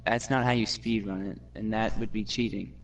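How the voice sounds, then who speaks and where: A man's voice: lowish voice, fairly even intonation, brisk, vocal fry, distant mic, rough recording. A man rambling with many filler words in casual chat while gaming. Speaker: gaming co-player.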